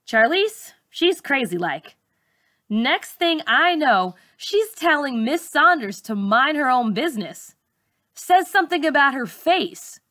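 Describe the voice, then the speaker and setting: A woman narrates a young people's audiobook as a teacher would read to a class. Her voice is bright and a little high, with slow, expressive, colloquial storytelling. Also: no room sound, clean studio sound. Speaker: teacher reading aloud. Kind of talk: expressive first-person audiobook narration for schoolchildren.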